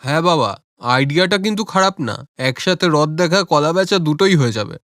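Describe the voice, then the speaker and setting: A man's voice: on the high side, big pitch swings, fast, fairly bright tone, no echo, studio quality. A man voicing a cheerful, food-loving village family man in a cartoon, eager, coaxing and appreciative.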